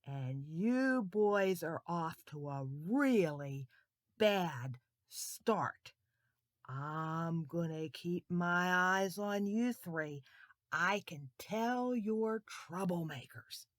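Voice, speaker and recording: A woman's voice: animated, dry recording, clean studio sound. A woman voicing a stern new female schoolteacher who scolds three boys in a children's bedtime story. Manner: scolding, then low and slow.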